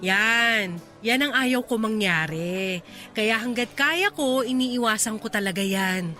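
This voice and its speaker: Bright, lively young career woman. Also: on the high side, slow, soft background music, exaggerated voice. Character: young woman Mylene, hardworking, devoted to her mother. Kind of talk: radio drama acting.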